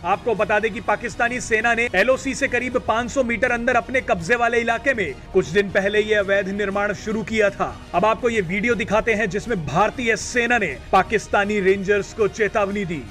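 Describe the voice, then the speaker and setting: A man's voice: sits high, moderate pace, few pauses, fairly bright tone, soft background music. A man reading a scripted television news report as a narrator.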